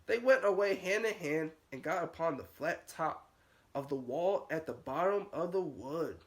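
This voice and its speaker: A man's voice: on the high side, expressive, normal speed. A man reading a storybook aloud to young children as a nursery teacher, with a sing-song delivery that rises and falls.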